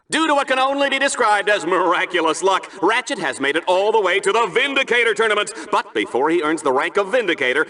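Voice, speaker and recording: An actor playing a bombastic, smug, bloodthirsty game-show sports announcer in a video game, with theatrical, mock-sincere delivery. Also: male voice, high voice, bright, forceful tone, heavily acted. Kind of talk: hyped-up arena commentary for a video game.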